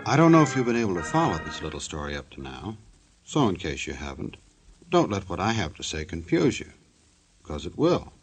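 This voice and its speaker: A man's voice: sits low, big pitch swings, a little fast, music in the background, low-quality recording. A man narrating a 1950s radio show from a script in a wry, tongue-in-cheek, mock-formal way. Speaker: announcer-narrator.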